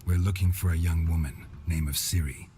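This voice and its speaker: An actor playing a stoic monster hunter in a fantasy game, gravelly, terse and deadpan. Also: male voice, low-pitched, brisk, slight background noise, natural voice. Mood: businesslike. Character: gruff, stoic male monster hunter, laconic. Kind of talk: fantasy video game acting.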